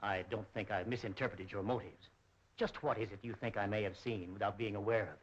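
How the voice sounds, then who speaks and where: An actor playing a brisk, polished businessman in 1930s film style. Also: lowish voice, steady tone, close to an everyday voice. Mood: defensive, curt. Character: defensive, brisk businessman, curt yet socially polished. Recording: muffled 1930s film soundtrack.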